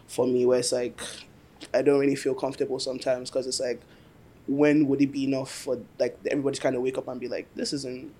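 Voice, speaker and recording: A man's voice: medium pitch, little pitch movement, quick pace, warm tone. A young man who grew up in Nigeria, talking earnestly and reflectively in a casual chat. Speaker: podcast guest.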